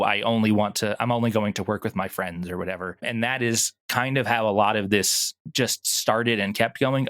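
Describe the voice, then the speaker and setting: A man's voice: on the low side, a bit bright, no room sound, studio quality. A man talking casually as an interview guest, explaining things earnestly. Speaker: podcast guest, audio drama producer.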